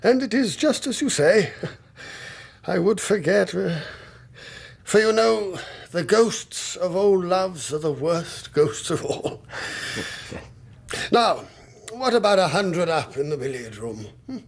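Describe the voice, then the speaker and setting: An actor playing a courteous, elderly country gentleman in a radio drama, hesitant and uneasy beneath his good manners. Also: high voice, animated, slow, vocal fry, long pauses, husky, dry recording, heavily acted. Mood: forced cheer. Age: older.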